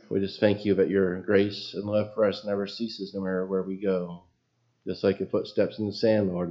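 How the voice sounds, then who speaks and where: A man with a very low voice leading prayer and giving an informal sermon in a small church, reading scripture and losing his place now and then. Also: muffled recording. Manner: folksy, loses his place and apologizes. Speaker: lay preacher. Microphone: PA microphone.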